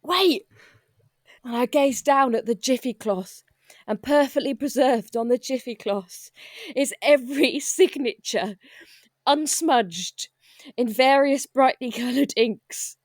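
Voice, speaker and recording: A woman's voice: high-pitched, slow, no echo. A woman playing and narrating in an improvised comedy roleplay. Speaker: player in a roleplay podcast.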